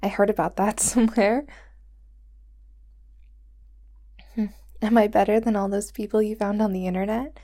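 A woman voicing a doting, possessive young girlfriend who holds her lover captive, talking softly and sweetly in a whispery voice. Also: medium pitch, level tone, brisk, natural voice. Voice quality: soft and whispery. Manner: sweet, clingy, coaxing. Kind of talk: roleplay audio drama to the listener.

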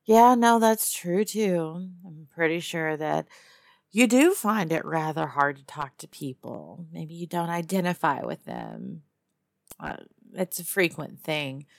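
A woman playing a tough, teasing lizard-person huntress in an immersive audio roleplay, with a Texas Southern accent and a sardonic drawl full of musing pauses and hums. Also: sits low, very animated, creaky, no room sound, clean studio sound, heavily acted. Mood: musing, sympathetic. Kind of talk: one-sided immersive audio roleplay to a captive listener.

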